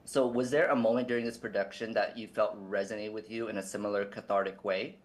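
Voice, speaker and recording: Fast-talking low-voiced man interviewer. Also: fairly even intonation. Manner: earnest, with many fillers. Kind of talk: scripted interview questions.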